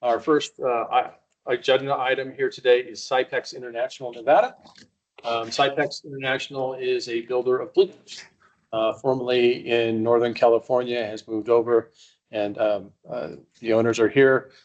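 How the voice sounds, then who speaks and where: A man directing a state agency, presenting business at a board meeting. He talks hesitantly and often restarts, at a middle pitch. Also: flat delivery, normal speed, highs cut off. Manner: hesitant, many fillers and restarts.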